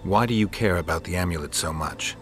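A man plays a stoic witcher in a fantasy game. His voice is very low and his lines are blunt, terse and deadpan. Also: a little fast, over music, lightly acted. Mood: dry, probing. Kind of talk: dramatic video game acting.

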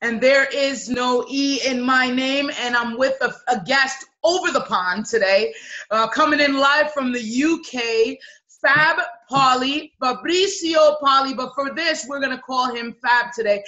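A high, bright-voiced woman hosting an interview over a webcam from her office. She opens with a showy introduction and speaks with lively, gesturing energy. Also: unhurried, lo-fi sound. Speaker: interview host. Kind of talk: hosted interview on a video call. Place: office with whiteboards. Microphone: laptop webcam on a video call.

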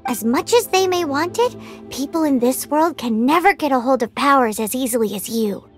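Squeaky, very high-pitched little fairy girl. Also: expressive, moderate pace, soft background music. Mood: curious, explaining. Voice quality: squeaky, childlike. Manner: perky, speaks of herself in third person. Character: tiny cheerful floating fairy girl companion. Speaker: guide. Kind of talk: animated game dialogue.

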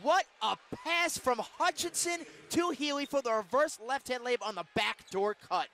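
A man calling basketball play-by-play on the radio, with excitable, punchy calls. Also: very high-pitched, moderate pace. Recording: arena crowd and court noise behind. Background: basketball game in an arena.

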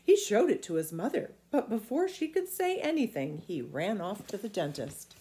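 Middle aged lady reading a kids book out loud at home, really expressive, voice goes up and down. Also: mid-range voice, normal speed. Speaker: storybook reader. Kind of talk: expressive read-aloud of a children's story. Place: small indoor room at home.

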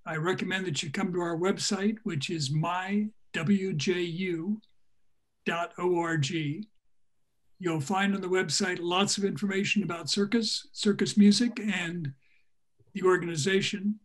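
A man in his seventies speaking in a measured, deliberate way as a panelist on a video call. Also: high-pitched, steady tone. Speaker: panelist, circus music society representative. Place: small room at home, indoors. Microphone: computer mic on a video call.

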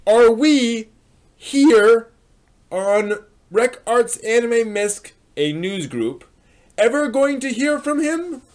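A man in his thirties hosting a dramatic reading of old online posts with spoken asides, theatrical and emphatic, recorded in a small room at home. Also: high-pitched. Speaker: host.

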